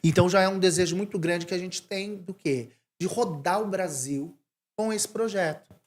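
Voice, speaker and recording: A man from Brazil in his forties, a missionary talking casually in an interview, animated and thinking aloud with restarts. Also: high-pitched, normal speed. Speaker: missionary guest.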